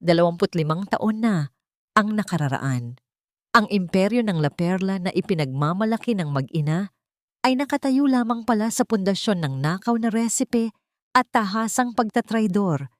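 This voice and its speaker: A woman's voice: medium pitch, animated, normal speed, no echo, studio quality. A woman reading a scripted, dramatic story as its narrator.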